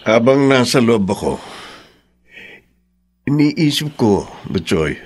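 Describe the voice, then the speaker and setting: A Filipino man acting in a radio drama as a troubled, gruff father fresh out of jail who blames himself. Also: mid-range voice, big pitch swings, slow pace, darkish tone, full character voice. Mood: brooding.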